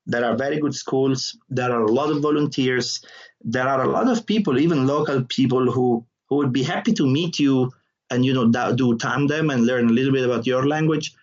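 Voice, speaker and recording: A man from southern Italy with an Italian accent, a comedian answering interview questions in an animated way, laughing often and restarting his words. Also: mid-range voice, a little fast. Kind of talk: conversational interview. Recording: dull lo-fi audio.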